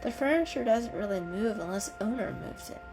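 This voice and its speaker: A woman voicing a gentle, earnest female kitty, modest and reassuring, in a children's story reading. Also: medium pitch, lively intonation, brisk, gravelly, music in the background.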